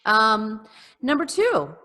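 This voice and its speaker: Bright-voiced woman in a very echoey room. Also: on the high side, wildly swooping pitch, takes it slowly. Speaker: podcast co-host. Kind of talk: comic list reading and casual chat on a podcast. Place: remote group video call. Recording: roomy video call with long reverb.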